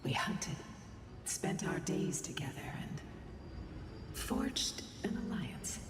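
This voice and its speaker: A woman playing an ancient, solemn and wistful winged guardian in a video game, with a breathy voice and grave, measured storytelling. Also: low-pitched, big pitch swings, distant mic, full character voice. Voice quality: breathy, whispery. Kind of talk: video game dramatic dialogue. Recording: voice in long echoing reverb, music behind. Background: game music.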